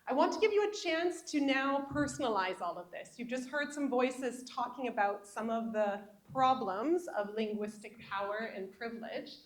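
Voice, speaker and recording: A woman's voice: fairly high pitch, animated, moderate pace, hardly any pauses, roomy sound. A woman presenting an interactive session, putting questions to the audience. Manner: guiding, asks the room questions.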